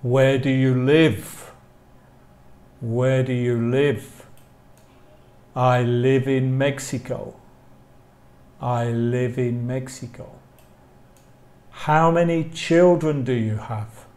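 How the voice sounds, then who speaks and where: Slow-talking English man teaching a class. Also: medium pitch, steady tone, darkish tone. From England. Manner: slow, careful enunciation with repeated phrases. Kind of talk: live online language lesson, reading model questions and answers.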